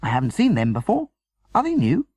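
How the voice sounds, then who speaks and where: Man voicing an eager, high-pitched cheeky boy. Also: huge pitch swings, a little fast, a little mellow, no echo. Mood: coaxing, cheeky. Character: persistent, cheeky young boy in a storybook.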